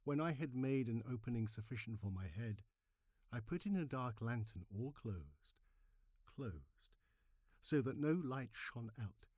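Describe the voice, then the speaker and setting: A man's voice: lowish voice, husky, no echo, clean studio sound. Man playing an agitated madman, wildly swinging delivery. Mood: sly, boastful. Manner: theatrical, emphatic, with hushed drops and sudden swells. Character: agitated madman murderer insisting on his sanity, horror storybook. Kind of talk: dramatic horror story reading.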